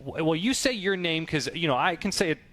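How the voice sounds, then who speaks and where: A man with a somewhat higher voice hosts a sports interview show. He asks long, meandering questions in a friendly, conversational tone. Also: animated, a little fast, a bit bright. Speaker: radio show host.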